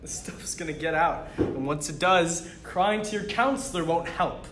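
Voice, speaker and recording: A man's voice: a little high, moderate pace, a bit bright, close to an everyday voice. Casting: belittling, foul-mouthed imaginary young tormentor played by a man, brash, roomy read-through with faint noise. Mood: taunting, scornful. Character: taunting, foul-mouthed male figment, imaginary teenage tormentor. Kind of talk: script table read. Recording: roomy recording with background noise.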